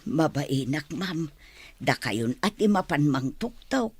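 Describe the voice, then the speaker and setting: A woman's voice: deep voice, very animated, takes it slowly, a little mellow, slightly rough, no echo, heavily acted. A woman playing a cheeky household maid who talks back plainly and defiantly in an audio drama. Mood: evasive.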